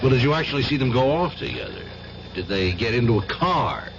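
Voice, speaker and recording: An actor playing a methodical police lieutenant who questions in a matter-of-fact way in a radio drama. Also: male voice, mid-range voice, sing-song, a little fast. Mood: skeptical, pressing. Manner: questioning, hesitant filler murmurs, matter-of-fact. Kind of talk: 1970s radio drama acting. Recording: dull old radio recording, clear noise.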